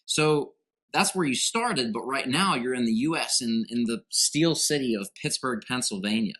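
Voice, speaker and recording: A man's voice: medium pitch, lively intonation, moderate pace, fairly bright tone. A man hosting a podcast interview, emphatic and addressing listeners directly. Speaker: podcast host.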